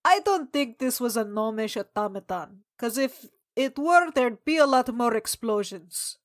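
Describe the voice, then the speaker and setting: Higher-voiced woman, dry close recording. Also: animated, unhurried, dry recording. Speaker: player on a tabletop role-playing podcast. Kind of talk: casual improvised banter.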